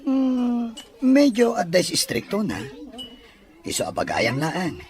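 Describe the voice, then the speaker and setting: A man's voice: high-pitched, huge pitch swings, takes it slowly, darkish tone, full character voice. An actor with an Ilocano accent playing an earnest young man in love in a radio drama. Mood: hopeful, affectionate.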